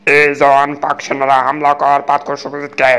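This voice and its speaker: A man playing a rough, impatient army soldier in a comic cartoon, barking and cursing. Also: on the high side, completely flat delivery, brisk, heavy background noise, lightly acted. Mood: impatient, angry.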